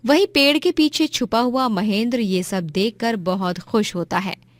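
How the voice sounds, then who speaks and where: A woman's voice: on the high side, a little slow, dry recording. A woman narrating a scripted moral story as a storyteller.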